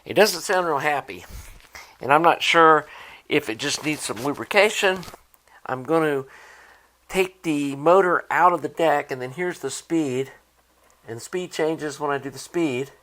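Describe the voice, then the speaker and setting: Slightly high-voiced man explaining a repair. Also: unhurried, sharp tone. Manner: unscripted, conversational explaining. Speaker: electronics repair hobbyist presenter. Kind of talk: hands-on repair walkthrough, informal.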